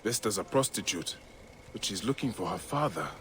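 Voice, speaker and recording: Low-voiced, grave male investigator played by an actor. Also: expressive, normal speed, noisy background, close to an everyday voice. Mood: brooding, investigative. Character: Bayek, grave male Egyptian investigator and protector, steady, compassionate. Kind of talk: video game acting, part dialogue, part musing aloud.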